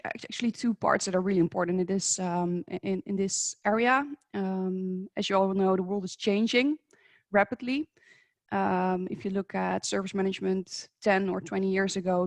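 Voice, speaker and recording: A woman from the Netherlands with a Dutch accent, giving a conference presentation, measured and a little hesitant, with frequent fillers and restarts. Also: on the low side, no echo, clean studio sound. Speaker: presenter at a professional webinar. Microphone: remote video call.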